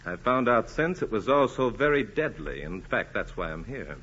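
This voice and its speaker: An actor in 1940s radio style playing a bold country doctor with a breezy, pointed, insinuating manner. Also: male voice, fairly low pitch, very animated, moderate pace, a bit bright, slightly rough, exaggerated voice. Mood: accusing. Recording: muffled, noisy 1940s radio recording.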